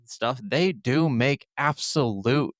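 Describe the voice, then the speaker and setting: A man's voice: mid-range voice, lively intonation, takes it slowly, no room sound, clean studio sound. A man co-hosting a podcast, chatting casually and informally about video games with enthusiasm. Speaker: podcast host.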